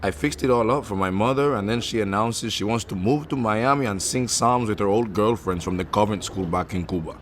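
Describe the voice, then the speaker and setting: Man playing a low-voiced, tender suave suitor. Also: brisk, hardly any pauses, a little hiss or hum, lightly acted. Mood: matter-of-fact. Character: suave Cuban-born man, successful performer, tender and teasing suitor. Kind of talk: comedic radio drama acting.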